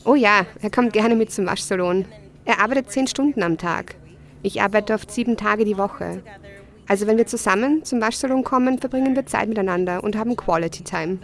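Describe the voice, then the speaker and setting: A woman's voice: medium pitch, brisk, natural voice. A woman dubbing a hardworking woman in her thirties, speaking plainly and conversationally. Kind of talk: dubbed voice-over of an interview.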